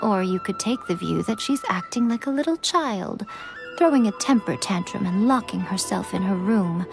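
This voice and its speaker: Sly, elegant fox shrine maiden, music behind. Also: female voice, medium pitch, animated, normal speed, vocal fry. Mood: wry, aloof. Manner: sly, languid, condescending. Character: teasing, elegant female fox shrine maiden, centuries old. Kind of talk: video game character dialogue.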